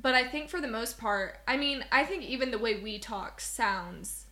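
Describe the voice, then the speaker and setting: A woman's voice: a little high, lively intonation, moderate pace, sharp tone. A young woman from Southwest Missouri co-hosting a podcast, chatting in a rambling way and laughing often. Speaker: podcast co-host. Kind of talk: casual podcast chat.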